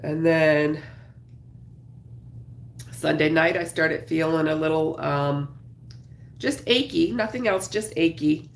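A woman in her thirties with a cold, her voice low, raspy and gravelly from a numbed throat. She chats slowly and casually with her livestream viewers, greeting them by name. Her webcam picks up a roomy home room with a faint hiss behind her. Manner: chatty, greets viewers while crocheting. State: congested, sore throat. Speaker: craft livestream host. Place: small home craft room, indoors.

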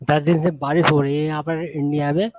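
A man with a sore throat arguing on a video call, halting and restarting his sentences. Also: on the high side, level tone, darkish tone, faint music behind. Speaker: caller in group debate. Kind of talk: casual group video call debate.

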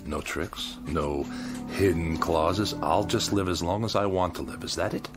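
An actor playing a wary, self-absorbed man in a radio drama, questioning everything in a deliberate, skeptical way. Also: sits low, fairly even intonation, over music. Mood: tempted, bargaining.

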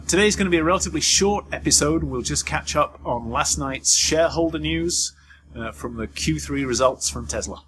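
A middle-aged man hosting a news vlog, talking through a roundup to camera from notes. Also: medium pitch. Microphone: lapel mic. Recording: clearly noisy background ambience.

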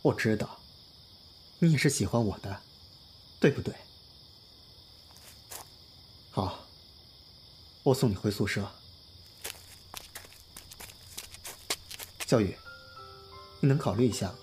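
A man's voice: on the low side, lively intonation, moderate pace, long pauses, a little mellow, a little hiss or hum, lightly acted. A man playing an earnest, lovestruck young pop star in an audio drama, speaking in an emotive, confiding way. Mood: gentle. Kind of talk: Chinese audio drama acting, heartfelt monologue and dialogue.

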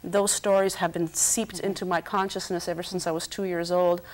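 A middle-aged woman with a lowish voice talks earnestly on a TV studio set, picked up by a lapel mic. She often repeats words as she gathers her thoughts. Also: little pitch movement, moderate pace, low-quality recording. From Canada. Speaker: politician guest. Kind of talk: studio panel discussion.

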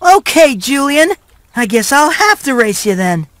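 A polite little boy mouse hero from a video game, very high and bright. The speech is earnest, neat and eager, with a lively swing, recorded dry. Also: a little slow, no echo, heavily acted. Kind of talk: scripted game cutscene dialogue.

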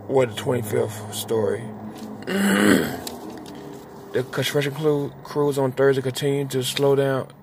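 A man in his forties reading a newspaper article aloud in a parked car, halting and restarting words, with his own asides. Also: mid-range voice, a little mellow. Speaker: vlogger reading the news aloud. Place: inside a parked car at dusk. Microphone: phone held at arm's length. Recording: phone audio with clear car and road noise.

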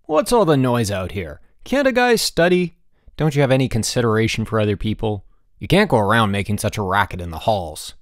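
A narrator voicing a cheeky schoolboy in a storybook, smooth and earnest with feigned innocence. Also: mid-range voice, animated, normal speed, a little mellow, no echo, clean studio sound, natural voice. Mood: indignant.

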